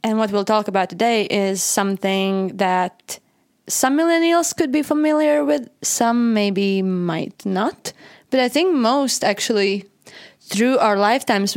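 A young woman from Latvia, native in Latvian, chats her way through a podcast with quick laughs and self-mocking asides. Her voice sits at a middle pitch. The sound is dry, with no room around it. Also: no echo. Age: young adult. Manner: chatty, laughs often, self-deprecating. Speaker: podcast co-host. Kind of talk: casual podcast chat.

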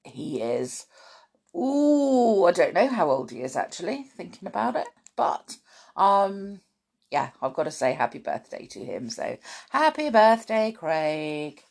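A middle-aged woman with a croaky morning voice, chatting informally to young listeners before a story, full of ums and small laughs. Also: lowish voice, very animated, measured pace. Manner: warm chatty aside-filled talk with many ums and little laughs. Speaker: children's podcast host and storyteller. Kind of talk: chatty spoken intro to children's story podcast.

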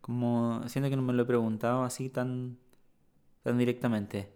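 A man speaking as a poet guest in a reflective conversation, thoughtful and halting with many restarts. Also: medium pitch, little pitch movement, dark tone.

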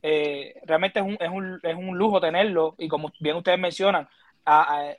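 Measured baseball analyst. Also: male voice, on the high side, steady tone, no echo, dull audio. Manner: measured, filler "este" and "verdad". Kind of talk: casual sports talk.

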